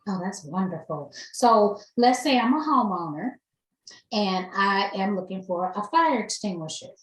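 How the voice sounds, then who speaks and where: A woman's voice: medium pitch, lively intonation, a little slow. A woman hosting a podcast interview, encouraging her guest with short affirmations. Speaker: host, nurse advocate. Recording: echoey room.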